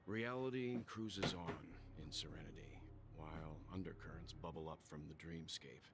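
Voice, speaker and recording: A reflective, dependable game character. His voice is low and creaky, and he speaks formally and steadily. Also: normal speed, background noise. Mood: steady, reassuring. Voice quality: deep, gravelly. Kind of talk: video game voice acting.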